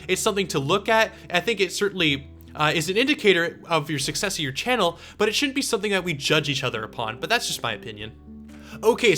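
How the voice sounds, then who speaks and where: A young man of eighteen with a high-pitched, very bright voice talks informally to his online audience. He works through questions in a chatty, explanatory manner, with music playing behind him. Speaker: video creator answering viewer questions. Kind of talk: casual Q and A talk to viewers.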